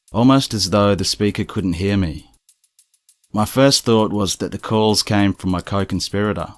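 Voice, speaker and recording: A man reading scripted first-person stories as a narrator, in a measured, serious manner. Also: sits low, fairly bright tone, no echo. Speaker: narrator of strange true stories.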